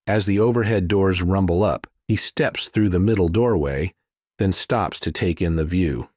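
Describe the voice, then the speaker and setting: A man's voice: deep voice, normal speed, darkish tone, no echo, clean studio sound. A man reading scripted thriller narration as an audiobook narrator.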